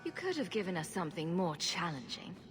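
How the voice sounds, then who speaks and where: A woman's voice: fairly low pitch, very animated, brisk. An actress playing a confident, level-headed adventurer in a fantasy game, dry and sardonic, with music behind her. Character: confident, wry female adventurer, level-headed older sister.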